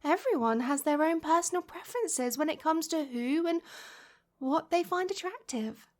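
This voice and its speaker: Woman acting high-voiced doting British mum, soft ASMR. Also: lively intonation, moderate pace. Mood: amused, reassuring. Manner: affectionate, coaxing, lots of pet names and sighs. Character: warm, doting, gently flirty middle-aged divorced mother. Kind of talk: soft intimate ASMR roleplay.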